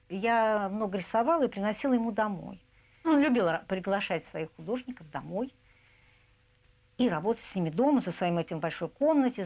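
Middle-aged woman with a very creaky voice. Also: mid-range voice. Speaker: artist, interviewee. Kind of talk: documentary interview reminiscence. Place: indoor furnished room.

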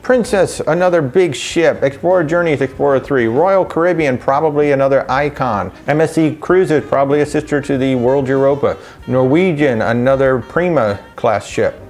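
A middle-aged man talks straight to the camera from a home office and runs quickly through a long list. He breaks it up with wry asides. A faint music bed plays underneath his mid-pitched voice. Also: darkish tone. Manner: brisk listing with wry asides. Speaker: travel vlogger. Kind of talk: casual rundown to camera.

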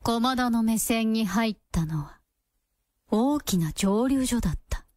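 A woman's voice: on the high side, expressive, takes it slowly, darkish tone, dry recording, studio quality. A woman reading scripted narration for a radio drama as its storyteller.

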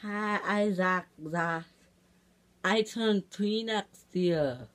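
A woman's voice: mid-range voice, extremely slow, a little hiss or hum. A woman voicing a needy cartoon toddler boy in repetitive baby talk for an improvised character play. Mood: proud.

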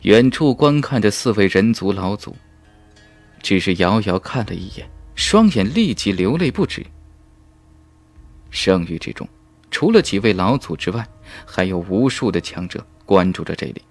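A man's voice: on the low side, expressive, moderate pace. A man narrating a fantasy novel in a dramatic, emphatic storytelling manner. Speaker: audiobook narrator. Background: background music.

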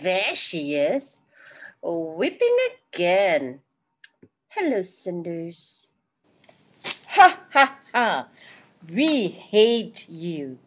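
Casting: mocking pantomime stepsister played by a woman, sneering, husky, very drawn-out lines. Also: lowish voice, very animated, full character voice. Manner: sneering, exaggerated. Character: cruel, mocking stepsister in Cinderella. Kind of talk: children's pantomime villain acting.

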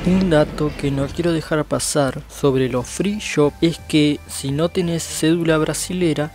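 A Uruguayan man in his thirties chats straight into a handheld action camera, walking city streets and store aisles. His voice sits a little high, and he keeps calling out to his viewers in a loose, easy way. Music plays clearly underneath. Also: steady tone, unhurried, darkish tone. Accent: Uruguayan Spanish. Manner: chatty, addresses viewers as "gente". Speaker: travel vlogger. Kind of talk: casual walking vlog. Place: city streets and inside shops. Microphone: action camera held close.

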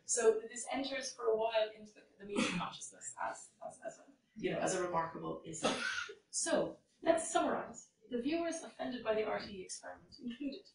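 A woman's voice: mid-range voice, very animated, brisk, vocal fry, distant mic. A woman giving a conference keynote from a lectern, reading old letters aloud with frequent fillers and restarts. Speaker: keynote speaker. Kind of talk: academic conference lecture. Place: large lecture hall, at a lectern. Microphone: lectern mic, filmed from a distance. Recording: echoey hall recorded from afar.

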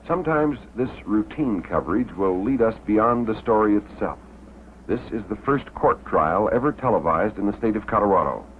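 A man's voice: on the low side, expressive, moderate pace, slight background noise. Tinny vintage American male documentary narrator. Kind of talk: 1950s promotional documentary narration. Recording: tinny old film soundtrack, faint music.

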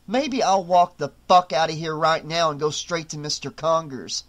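A man doing a hot-headed young hotel waiter who refuses to back down. His voice rides high, and his replies come quick and heated. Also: a bit bright, natural voice. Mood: defiant. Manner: heated, defiant comebacks.

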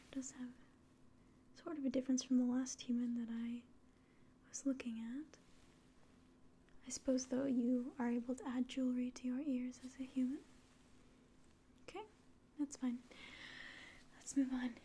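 A young woman whispering softly and breathily in a gentle, hesitant ASMR medical exam roleplay, close to the mic. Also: sits high, little pitch movement, normal speed, long pauses, slightly rough. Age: young adult. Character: polite, clinical alien examiner. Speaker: ASMR performer. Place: small room indoors.

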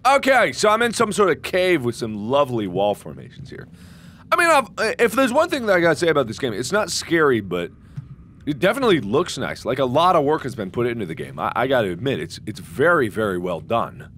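A man with a hoarse voice giving jokey let's-play commentary into a headset, with sudden excited yelps. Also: medium pitch, fairly quick, a little hiss or hum. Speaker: gaming video host.

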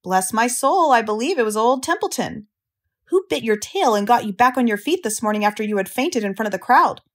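A woman's voice: on the high side, lively intonation, brisk. Woman playing a sarcastic, grumbling storybook rat. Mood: mocking, self-pitying. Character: grumpy, sarcastic, self-pitying male barn rat. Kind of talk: storybook character reading.

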